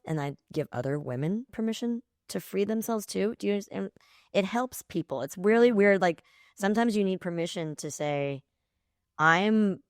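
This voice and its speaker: An American woman in her forties co-hosts a casual chat in a low-pitched voice. She is blunt and emphatic in how she puts things. The sound is dry, with very little room in it. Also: no room sound. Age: 40s. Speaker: podcast co-host.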